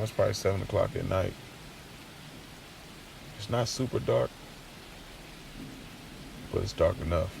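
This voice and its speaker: A man playing a streetwise young man just out of jail, telling his story conversationally in casual slang, with a gravelly voice, in an audio drama. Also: on the low side, darkish tone, far from the mic, a little hiss or hum, rough recording. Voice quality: gravelly, creaky.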